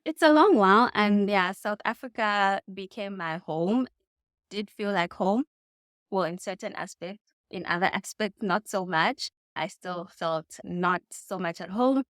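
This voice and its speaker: Clear-voiced Zambian woman in a dry room. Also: mid-range voice, a little slow, a bit bright, no room sound. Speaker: interview guest. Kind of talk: casual reflective interview answers. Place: indoors in a home.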